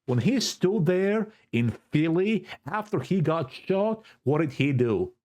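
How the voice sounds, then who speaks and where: Fervent Greek Cypriot man preaching slowly. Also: on the high side, very animated, a little mellow. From Cyprus. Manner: fervent and preachy, repeats words for emphasis. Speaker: vlogger. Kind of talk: impassioned political monologue to camera. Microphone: self-recorded camera setup.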